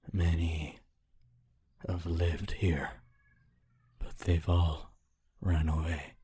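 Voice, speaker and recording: Man as a very slow, husky, lonely ghost. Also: heavily acted. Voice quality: deep, soft, husky and breathy. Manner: very slow, halting, solemn. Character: lonely, gentle, centuries-old male ghost of decaying mansion. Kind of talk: ASMR audio roleplay, slow scripted monologue.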